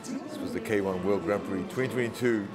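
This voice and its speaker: A man's voice: on the low side, brisk, loud music behind the voice. A man doing casual live sports commentary in a jokey, conversational way. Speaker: fight commentator.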